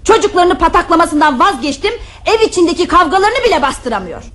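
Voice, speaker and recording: Fed-up servant, high voice, indignant, complaining, radio drama delivery. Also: female voice, big pitch swings, fairly quick, over music. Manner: complaining, emphatic. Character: fed-up, indignant housemaid who is quitting. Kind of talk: Turkish radio theatre acting.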